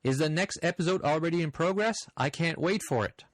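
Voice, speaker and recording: A man's voice: on the high side, no echo. Brief: man from Canada, slight French accent, hosting a podcast in a dry, close recording. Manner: easygoing, friendly, with frequent "uh" pauses. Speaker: podcast host. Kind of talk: casual podcast chat reading listener mail.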